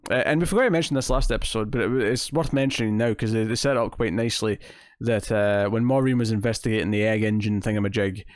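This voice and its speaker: A man's voice: fairly low pitch, fairly even intonation, quick pace, few pauses, no room sound, studio quality. A young Scottish man chatting casually and in a rambling way as he co-hosts a review podcast. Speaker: podcast co-host. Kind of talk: casual chat, TV episode review. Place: indoors at home, online video call.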